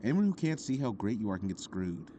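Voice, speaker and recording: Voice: medium pitch, quick pace, slight background noise, natural voice. An actor voicing a kind young boy who teases and reassures his friend. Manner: warm, teasing, reassuring, animated. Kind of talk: audio drama acting.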